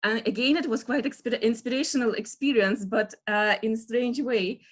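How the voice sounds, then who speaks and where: A woman in her thirties from Russia with a Russian accent, giving an online lecture over a video call, pausing often with fillers and soft laughs as she searches for words. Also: on the high side, steady tone. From Russia (Western Siberia).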